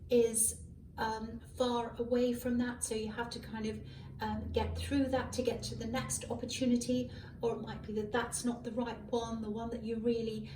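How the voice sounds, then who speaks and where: Hoarse woman, echoey and far off. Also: fairly high pitch, background noise. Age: adult. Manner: rambling, musing with many ums and self-corrections. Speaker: tarot reader. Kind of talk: casual tarot reading to camera. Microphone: malfunctioning microphone at a distance. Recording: echoey room, far from a faulty mic. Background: faint passing cars.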